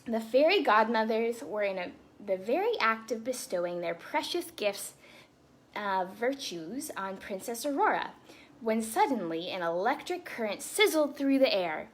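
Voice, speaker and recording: A woman reading a story aloud to children as a storytime host, expressive and breaking into chatty asides. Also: fairly high pitch, unhurried. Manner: animated, with chatty asides to young listeners.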